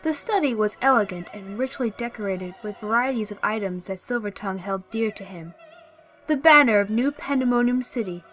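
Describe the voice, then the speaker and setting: Medium-pitched female fantasy narrator. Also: lively intonation, a little fast, fairly bright tone, faint music behind. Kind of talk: scripted, descriptive fantasy narration.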